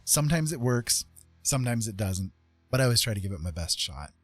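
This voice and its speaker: A man giving a casual live-stream painting tutorial in an informal, self-deprecating way, with a husky voice. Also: medium pitch, very animated, fairly quick, no room sound. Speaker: art streamer and author. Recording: soft guitar music behind voice. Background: guitar music.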